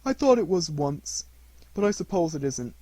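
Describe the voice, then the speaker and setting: A humble toy bear from a storybook, voiced by a man, a little raised in pitch and husky. He is simple, good-natured and thoughtful. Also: sing-song, normal speed, dark tone, no room sound. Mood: doleful.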